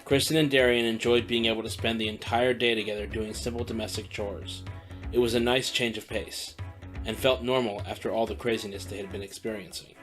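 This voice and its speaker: A man's voice: fairly low pitch, steady tone, fairly quick, fairly bright tone, soft background music, poor audio. A man narrating an audio drama in a scripted storytelling style.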